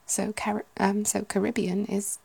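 A woman's voice: medium pitch, little pitch movement, a little slow, creaky, mellow, slight background noise. A woman in her thirties with a British English accent, whispering casual ASMR chat and musing to herself. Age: 30s. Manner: whispering, musing, self-correcting.

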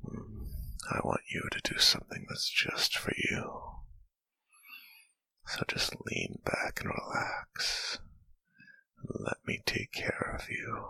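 A man playing an adoring, sensual lover in a whispered intimate roleplay, breathy and murmuring tenderly close to the mic. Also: very high-pitched, pushed and bright, dry recording, full character voice, poor audio. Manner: slow, tender murmuring with lingering hums. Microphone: close mic, dry.

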